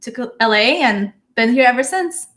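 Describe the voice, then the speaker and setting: A woman's voice: a little high, animated, normal speed, fairly bright tone. A woman in her thirties from Ukraine, a marketing guest on a podcast who chats casually and laughs often. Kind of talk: casual interview chat.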